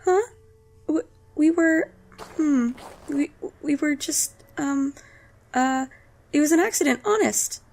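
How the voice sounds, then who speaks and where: A woman's voice: high voice, expressive, slow, gravelly, long pauses, darkish tone, exaggerated voice. A woman voicing a nervous, apologetic child who stammers and hesitates, in an audio drama. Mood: flustered, apologetic.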